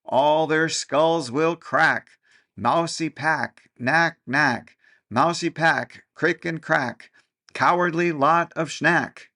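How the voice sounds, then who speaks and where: Guy reading as a tiny fiery toy prince yelling battle chants, raised pitch, gravelly edge. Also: takes it slowly, heavily acted. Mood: defiant. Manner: shouting war chants.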